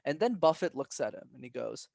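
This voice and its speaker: A young man as a podcast guest, giving energetic and emphatic advice and often tagging his points with "right?". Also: mid-range voice, fairly quick, gravelly, no room sound, clean studio sound. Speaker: guest, public speaking coach. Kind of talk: casual podcast interview.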